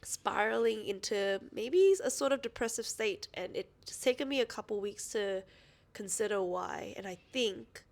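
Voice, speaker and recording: Woman, 30s, podcast co-host, mid-pitched, slightly stuffy, rambling conversational delivery. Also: gravelly. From Australia. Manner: rambling, self-interrupting, quick to laugh. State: a little sniffly. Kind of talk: casual chat.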